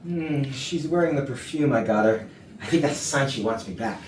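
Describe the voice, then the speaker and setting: A lovesick man played by a woman insists defensively that all is well, in a staged comedy. The low voice swings widely in pitch and sits far off in an echoey room. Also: lively intonation, moderate pace, darkish tone. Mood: hopeful, defensive. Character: lovesick man in hopeful denial over his breakup. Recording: distant echoey rehearsal room, faint noise.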